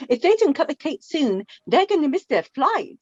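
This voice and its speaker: A woman playing a middle-aged mother of the bride in a radio play, fond, gently prying and rambling. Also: high-pitched, very animated, moderate pace. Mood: apologetic. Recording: echoey room, dull lo-fi sound.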